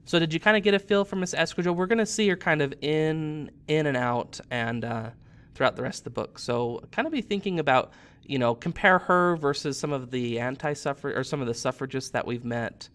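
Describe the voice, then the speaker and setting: A man's voice: a little high, brisk, a bit bright, clear, resonant tone. A man in his thirties, a teacher, narrating a storybook aloud expressively.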